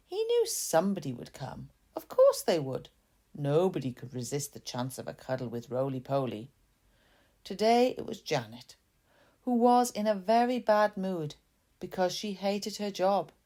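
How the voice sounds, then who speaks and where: Lady reading a story, soft mellow voice, really lilting up and down, chatty little asides. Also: deep voice. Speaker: author reading own story.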